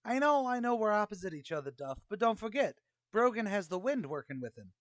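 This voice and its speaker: A man's voice: high voice, sing-song, brisk, no echo, clean studio sound. A man playing a scheming, wisecracking tavern manager in an old-time radio comedy, talking in streetwise slang. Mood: placating.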